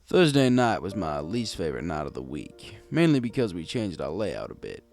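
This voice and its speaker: A man narrates a story in a slightly low voice with highly animated intonation. He speaks as a wry bartender, chatting conversationally to the listener as he reads. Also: moderate pace, faint music behind. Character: wry, gruff bartender pony telling his tale. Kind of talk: scripted first-person narration of a fan fiction story.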